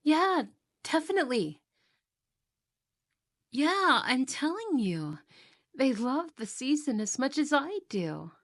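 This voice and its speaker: A woman's voice: high voice, sing-song, slow, pauses often, full character voice. A woman playing a cheerful, chatty elf who loves Christmas and passes as a human roommate, in an ASMR roleplay. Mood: friendly, admiring. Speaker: roleplay voice actress.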